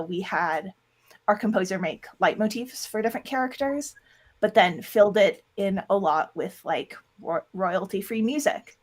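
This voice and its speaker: An audio drama producer speaking casually as an interview guest, animated and often acting out little quoted lines. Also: medium pitch.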